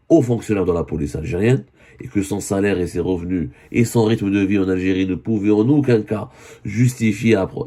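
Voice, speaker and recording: A man with a voice hoarse from a cold, giving an earnest spoken news commentary and often correcting himself. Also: sits low, mellow. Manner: earnest, with many self-corrections.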